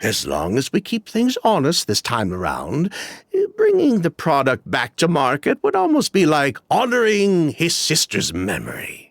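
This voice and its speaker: A man's voice: a little high, wildly swooping pitch, a little slow, no echo, full character voice. Casting: slick middle-aged con man for a game, very sing-song delivery, evasive and chatty, dry booth sound. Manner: evasive, chatty, with dismissive laughs. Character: smooth middle-aged male ex-perfume swindler. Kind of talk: video game voice acting.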